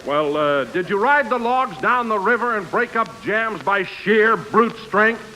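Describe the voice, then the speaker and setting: A man's voice: high-pitched, expressive, measured pace, bright, forceful tone, rough recording. A man working as a 1940s radio announcer and comic sidekick, with a hearty, grandiose delivery. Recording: hissy vintage radio recording.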